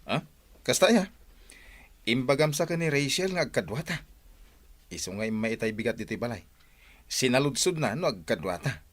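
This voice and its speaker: A man's voice: medium pitch, very animated, moderate pace, pauses often. A man acting as a frank friend admonishing his buddy in an Ilocano radio drama, in a conversational way. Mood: urgent, chiding. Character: frank male friend breaking bad news to his buddy.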